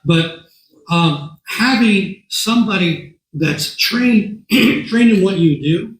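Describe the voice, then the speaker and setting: A man with a hoarse voice speaking to a meeting as a guest speaker, talking in a conversational way, repeating words and often clearing his throat. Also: sits high, expressive, slow pace, echoey room, a little hiss or hum, low-quality recording. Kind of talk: talk to a meeting. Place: meeting room.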